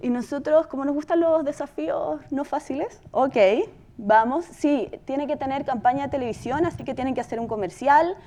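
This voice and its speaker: Chilean female presenter, higher-pitched, informal and conversational talk to an audience. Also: normal speed, warm tone. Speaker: podcast company presenter.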